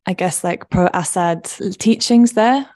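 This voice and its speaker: A young adult woman hosting an interview, chatting casually and backing her guest with soft encouraging murmurs. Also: lowish voice, slow pace. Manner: chatty, frequent soft backchannels. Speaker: podcast host.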